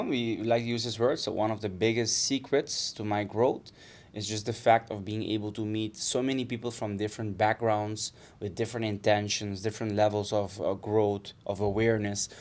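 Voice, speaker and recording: A man from Aruba who co-hosts a podcast, speaking in a thoughtful, soft-spoken way and searching for his words. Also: on the low side. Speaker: podcast co-host. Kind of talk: reflective casual chat.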